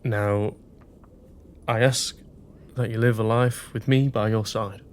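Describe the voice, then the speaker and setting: A man's voice: on the low side, steady tone, takes it slowly, slight background noise. An actor playing a sincere young working-class grocer's assistant in a period radio drama, speaking earnestly and tenderly to his sweetheart. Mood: regretful, tender.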